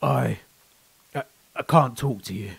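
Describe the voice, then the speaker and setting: A man's voice: mid-range voice, measured pace, creaky, a bit bright, slightly rough, no room sound, exaggerated voice. A man voicing a bitter, accusing captive soldier in a short story. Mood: wary, halting. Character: bitter, distrustful captured young soldier, loyal zealot. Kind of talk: short story character reading.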